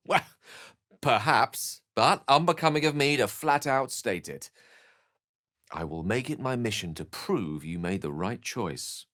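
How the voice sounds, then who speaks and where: An actor playing an eloquent, wry, romantic scholarly wizard in a fantasy video game, speaking in an articulate, theatrical way. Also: male voice, on the high side, pushed and bright, no echo, studio quality, exaggerated voice. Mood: earnest, wry.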